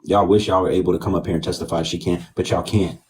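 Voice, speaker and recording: A man's voice: low-pitched, fairly even intonation, fast. An American man hosting a livestream debate, talking in a mocking, goading manner. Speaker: livestream host. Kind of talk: bantering livestream debate talk.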